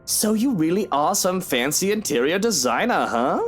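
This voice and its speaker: A cheeky, moody husband in a visual novel. His pitch swings widely as he speaks in teasing, slangy, informal British-style phrases. Music plays behind him. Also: very animated, moderate pace, slightly rough, full character voice. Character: cheeky, moody married man, musing about his wife. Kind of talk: visual novel game voice acting.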